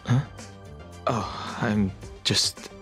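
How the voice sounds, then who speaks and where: A man playing a serious, guarded young man haunted by his past in a video game, speaking in a measured, reserved way. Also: lowish voice, steady tone, fairly bright tone, soft background music. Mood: pensive, guarded.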